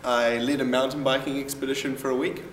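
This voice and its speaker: A young man speaks plainly in a flat, level tone about his time at school abroad. His voice rings through a large, echoey hall with faint hiss. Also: medium pitch, quick pace. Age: young adult. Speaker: student alumnus. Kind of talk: short testimonial snippets.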